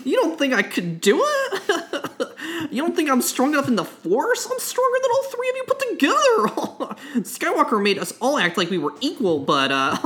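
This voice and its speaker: A woman's voice: on the high side, wildly swooping pitch, slow, hardly any pauses, a bit bright, exaggerated voice. A woman playing an unhinged, boastful young fallen Jedi. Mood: boastful, manic. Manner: breaks into manic laughter, stammering.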